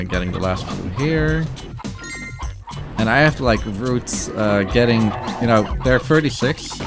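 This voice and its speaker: A man giving casual, rambling live gameplay commentary as a speedrunner, often interrupting himself. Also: lowish voice. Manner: halting, rambling, self-interrupting. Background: game music.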